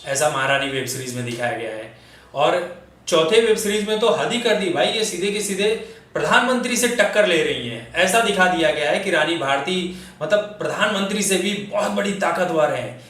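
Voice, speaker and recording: A man with a fairly high voice delivers opinionated commentary in a very echoey room, some distance from the microphone. He speaks straight to his listeners, firing rhetorical questions with indignation and sarcasm. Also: expressive, moderate pace, a bit bright. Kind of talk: opinionated film and political commentary to camera.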